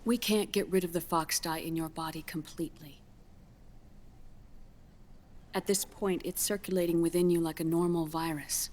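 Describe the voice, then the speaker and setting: A woman playing a grave scientist in a video game cutscene, explaining grim findings in a measured, solemn way. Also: fairly low pitch, fairly even intonation, a little mellow, close to an everyday voice. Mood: grave, urgent. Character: grave female scientist delivering a grim diagnosis.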